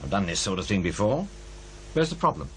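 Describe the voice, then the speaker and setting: An actor playing a sceptical, determined programme presenter, brisk and argumentative, in radio drama dialogue. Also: male voice, low-pitched, very animated, a bit bright, slight background noise. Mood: insistent.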